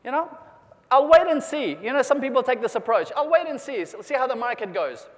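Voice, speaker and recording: A man from New Zealand giving an energetic motivational keynote, rallying the audience with questions and calls for applause. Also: extremely high pitch, a little fast. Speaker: motivational speaker. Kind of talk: keynote speech to a convention audience. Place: large convention hall. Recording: long hall reverb.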